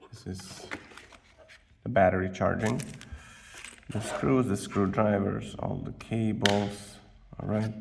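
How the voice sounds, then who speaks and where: Low, very creaky man, casually unboxing. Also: fairly even intonation. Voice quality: gravelly, creaky. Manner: halting, thinking aloud. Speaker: vlogger presenting an unboxing. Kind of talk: casual unscripted unboxing commentary. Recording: distant mic, faint background noise.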